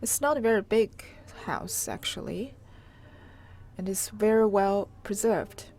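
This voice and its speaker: A woman reporter giving an unscripted live tour on location, in a halting, hesitant way with pauses mid-phrase. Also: mid-range voice, animated, darkish tone. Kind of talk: unscripted live walk-through narration. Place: on location inside an old house. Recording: clearly noisy on-location live recording.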